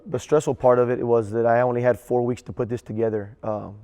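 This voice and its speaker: A man who directs drama giving a reflective interview in a halting, measured way. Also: medium pitch, fairly even intonation, warm tone, in a large hall.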